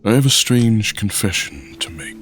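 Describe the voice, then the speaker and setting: A man playing a weary, reserved alien in an audio drama, speaking huskily in a formal, halting way. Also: on the low side, very animated, soft background music, low-quality recording. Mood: grave, confiding.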